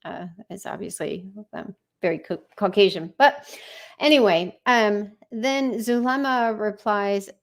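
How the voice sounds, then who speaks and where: Slow-paced woman doing true crime commentary. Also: medium pitch. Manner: conversational, wry asides, acts out read messages. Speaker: host of a true crime live stream.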